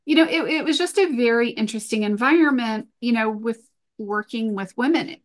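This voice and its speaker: A woman's voice: a little high, fairly quick. Middle-aged woman explaining things matter-of-factly. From Venezuela and Brazil. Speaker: podcast guest, entrepreneur. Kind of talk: conversational interview answers, personal storytelling.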